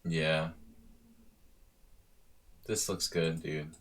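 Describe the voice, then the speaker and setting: A deep-voiced man coaching over a live chess stream. He thinks aloud in a loose, casual way, trailing off and restarting, then pushing his voice up when a point matters. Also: fairly even intonation, very slow, a bit bright. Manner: thinking aloud, casual, with rising bursts of emphasis.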